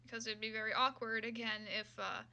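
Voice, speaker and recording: A woman livestreamer chatting casually and unscripted, hesitant and halting, often muttering to herself. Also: a little high, little pitch movement, pushed and bright.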